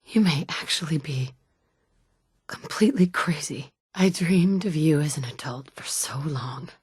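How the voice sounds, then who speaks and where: A woman's voice: deep voice, lively intonation, unhurried, a little mellow, husky, no room sound, clean studio sound, full character voice. A blunt wasteland mother. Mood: somber. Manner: blunt, sardonic, clipped one-liners. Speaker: player character. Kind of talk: video game dialogue lines. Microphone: studio mic.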